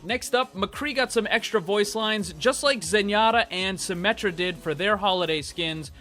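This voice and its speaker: A man's voice: high voice, normal speed, bright, forceful tone, faint music behind. A man hosting gaming news, speaking in an emphatic, informal way straight to his viewers. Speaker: gaming news channel host. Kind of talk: upbeat scripted gaming news narration.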